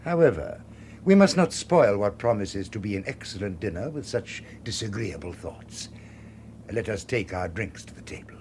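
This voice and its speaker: Suave, low-voiced villain, widely swooping. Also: male voice, animated, moderate pace, a little mellow. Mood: gloating politeness. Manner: elaborately courteous, ornate formal phrasing. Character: suave, gloating master criminal with polished menace. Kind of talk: radio drama acting. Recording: faintly noisy radio drama recording.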